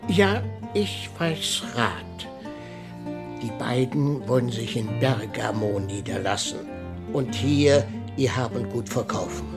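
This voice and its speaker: An actor playing a timid, evasive older village priest in a radio drama, wordy and full of excuses. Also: male voice, medium pitch, animated, slow pace, gravelly. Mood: fretful. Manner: fretful, wordy, excuse-making. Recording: radio play with music behind.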